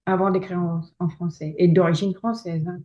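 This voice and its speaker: Woman author as guest, low mellow voice, halting speech, dull lo-fi sound. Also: steady tone. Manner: hesitant, many restarts and fillers, laughs often. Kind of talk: casual interview conversation.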